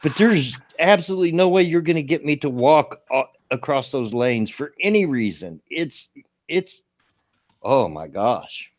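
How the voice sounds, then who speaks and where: A man in his late fifties with a gravelly voice, hosting a casual livestream talk show and reading local news in a folksy, rambling way. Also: a little high, sing-song, unhurried, no echo. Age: 50s. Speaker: show host.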